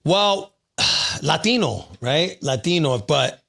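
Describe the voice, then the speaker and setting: A man hosting a laid-back podcast, his voice sitting a little high for a man. He chats loosely and reads articles aloud, tripping over words now and then and dropping "bro" between sentences. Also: lively intonation, gravelly, bright, forceful tone. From United States. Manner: casual, says "bro" often, reads haltingly. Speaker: podcast host. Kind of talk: casual chat, reading articles aloud.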